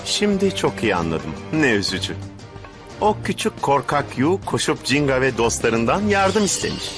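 A man's voice: medium pitch, big pitch swings, normal speed, natural voice. A man playing a scornful, mocking villain in a dubbed anime cartoon. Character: scornful, sneering male villain. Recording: music behind the voice.

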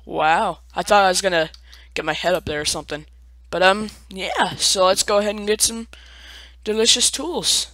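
A man's voice: sits high, normal speed, sharp tone. A young man casually commenting on his own gameplay, chatty and self-mocking, with playful asides. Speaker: let's play gamer.

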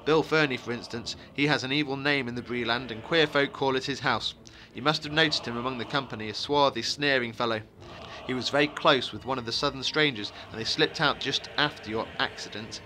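A man voicing a calm, secretive and wary wandering stranger, with a brisk, commanding and ominous way of talking, as in a fantasy storybook. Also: medium pitch, a little hiss or hum, lightly acted. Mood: grave warning.

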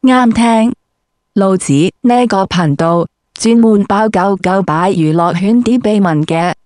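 A woman's voice: medium pitch, a little slow, darkish tone, no room sound. Woman, brash sweary interviewer, crude comedy script, really dry sound. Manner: foul-mouthed, brash.

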